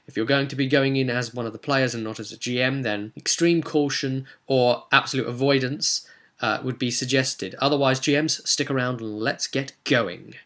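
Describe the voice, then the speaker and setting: Male game master and host, bright forceful voice, conversational storyteller recapping roleplay sessions. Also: mid-range voice, normal speed. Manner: conversational storytelling, acting out characters' remarks.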